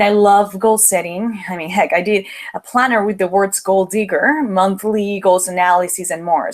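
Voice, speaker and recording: A young woman from the Dominican Republic with a Dominican Spanish accent, leading a motivational webinar in an upbeat, chatty way. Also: medium pitch. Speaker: webinar host, planner business owner. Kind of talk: live webinar presentation.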